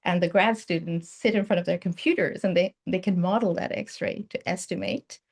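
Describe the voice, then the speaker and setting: A woman's voice: lowish voice, steady tone, normal speed, gravelly, a little mellow, slightly rough. A woman giving an academic seminar talk as a guest researcher, explaining her work with informal asides. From Bangladesh. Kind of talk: academic presentation.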